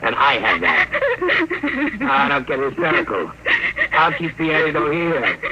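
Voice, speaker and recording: An actor playing a cold, menacing criminal boss in 1950s radio drama, curt, bullying and mocking. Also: male voice, fairly high pitch, sing-song, measured pace. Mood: cold, gloating. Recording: noisy, dull old radio recording.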